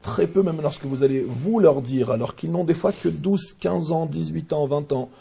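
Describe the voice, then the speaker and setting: Gravelly, husky male interviewer. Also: on the high side, normal speed, warm tone. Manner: probing, insistent questioning. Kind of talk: interview questions.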